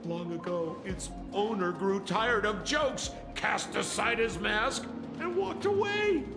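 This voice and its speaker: A man playing an excitable old tavern informant in a video game, teasing and theatrical as he spins his stories. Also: high voice, slow, exaggerated voice. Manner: theatrical, teasing storyteller with dramatic exclamations. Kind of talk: animated video game acting. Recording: echoey, reverberant, over music.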